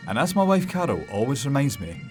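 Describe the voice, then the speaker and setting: A man's voice: mid-range voice, big pitch swings, a little fast, music in the background, lightly acted. A man playing a cocky, sardonic, boastful character with a Scottish accent, in film narration style.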